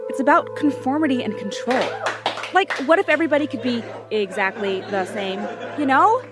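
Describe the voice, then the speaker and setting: High, animated rebellious teenage girl. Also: normal speed. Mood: defiant. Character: rebellious outspoken teenage celebrity girl, defiant and cheeky. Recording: loud music and crowd behind.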